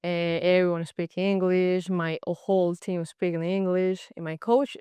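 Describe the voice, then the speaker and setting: A young woman from Colombia with a Colombian Spanish accent, a native Spanish speaker speaking halting English with frequent restarts in a casual interview. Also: on the low side, fairly even intonation, warm tone, clear, resonant tone, no room sound. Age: young adult. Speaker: guest, college goalkeeper.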